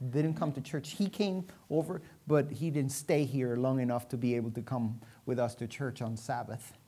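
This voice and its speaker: A woman preacher from Venezuela with a low-pitched voice delivers a sermon. She leans on key phrases with dramatic pauses and puts rhetorical questions to the congregation. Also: dark tone.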